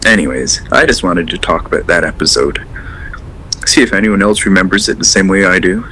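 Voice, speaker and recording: A man's voice: low voice, a little fast, bright, forceful tone, slight background noise, low-quality recording. A man acting as a hesitant, rambling caller to a late radio show in an audio drama, earnest and unsettled. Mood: reflective. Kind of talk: audio drama, naturalistic phone-in call.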